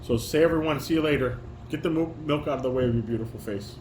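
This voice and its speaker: A man's voice: medium pitch, quick pace. A man directing a family table read, reading stage directions and giving informal, corrective instructions. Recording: echoey room with clear background noise.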